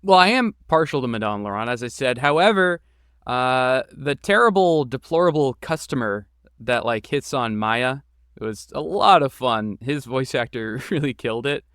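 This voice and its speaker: A man's voice: medium pitch, animated, normal speed, fairly bright tone, clean tone, no echo, studio quality. A man answering interview questions casually as a podcast guest, with an informal, enthusiastic and self-deprecating manner. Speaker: podcast guest, game creator and voice actor.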